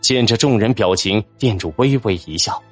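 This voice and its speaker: A man's voice: fairly low pitch, moderate pace, a bit bright. A man narrating a fantasy novel in a dramatic, scripted audiobook style. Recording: background music under narration.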